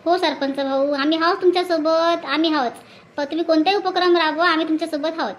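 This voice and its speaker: A woman's voice: extremely high pitch, steady tone, quick pace. A woman voicing an agreeable, dutiful cartoon village woman, emphatic and repetitive, with a rural Vidarbha Marathi accent. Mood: eager, reassuring. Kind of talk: hobby cartoon dialogue.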